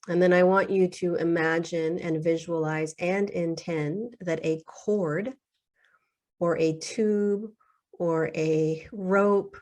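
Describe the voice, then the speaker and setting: A woman guides a meditation with a mellow, soft-edged tone. She speaks very slowly and soothingly, in short phrases with long pauses, and her pitch stays nearly flat.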